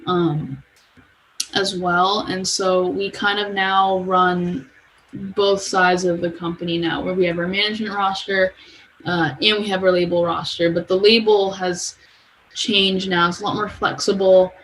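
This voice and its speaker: A woman's voice: on the low side, flat delivery, unhurried, fairly bright tone, poor audio. A woman explaining things informally, as a guest in a casual interview. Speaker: podcast guest, music company manager. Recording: clear background noise.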